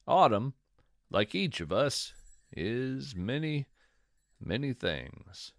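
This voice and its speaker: A man playing a whimsical, contemplative naturalist narrating a poetic, folksy podcast monologue in a measured, savoring way. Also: medium pitch, big pitch swings, no room sound, studio quality. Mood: wistful, savoring. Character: whimsical wandering male naturalist, contemplative lover of strange nature.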